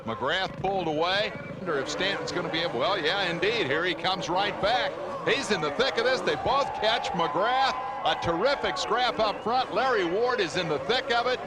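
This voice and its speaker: High-pitched race commentator over loud noise. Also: male voice, expressive, moderate pace. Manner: punchy, dramatic phrasing. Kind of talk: play-by-play motocross race commentary. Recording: engine and outdoor noise behind voice. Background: motocross race noise.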